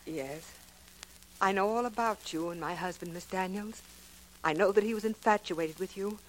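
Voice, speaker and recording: A woman's voice: medium pitch, normal speed, slight background noise, lightly acted, low-quality recording. A woman playing a composed, wronged wife in a 1940s radio drama, speaking formally and with restraint. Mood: controlled, earnest. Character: composed, earnest wronged wife confronting a rival.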